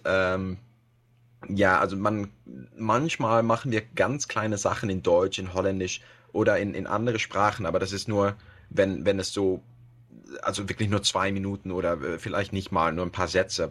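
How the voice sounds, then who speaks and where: A man with a Dutch accent speaks German as a second language in a relaxed podcast chat. His voice sits a little low, and he searches for words, filling gaps with hesitations and restarting sentences. He laughs now and then as he talks. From Netherlands. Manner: hesitant, many fillers and restarts, laughs often. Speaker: podcast guest, web radio host. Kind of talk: casual podcast interview.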